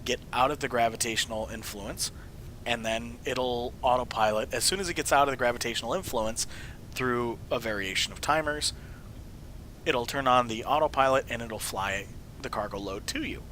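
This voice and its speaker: A man with a cold talking casually through his builds in a game, his voice hoarse and sometimes fading to a whisper, rambling and correcting himself as he goes. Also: medium pitch, normal speed, pushed and bright, a little hiss or hum, poor audio. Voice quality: hoarse, dropping to a whisper at times. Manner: rambling, self-correcting, jokey. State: voice mostly gone from illness, sniffling. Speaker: video host showing game builds. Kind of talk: casual gameplay walkthrough.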